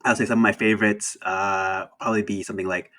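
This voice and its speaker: A young man from Thailand chats about games as an interview guest. He has a low voice and talks hesitantly, restarting his sentences and laughing easily. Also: flat delivery, quick pace, pushed and bright. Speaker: indie game developer, guest. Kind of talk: casual interview chat.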